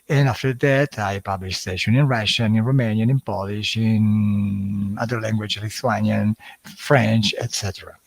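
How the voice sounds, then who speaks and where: A man's voice: fairly low pitch, husky, dry recording. Italian accent guy doing a livestream, stops and restarts a lot, dry close sound. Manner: halting, many fillers and restarts. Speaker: hypnosis channel host. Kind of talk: casual livestream talk.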